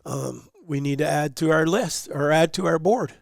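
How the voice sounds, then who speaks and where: A man's voice: a little high, moderate pace, vocal fry, no room sound, studio quality. A man co-hosting a casual podcast, sounding a little nasal from a runny nose, chatty and teasing. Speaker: podcast co-host.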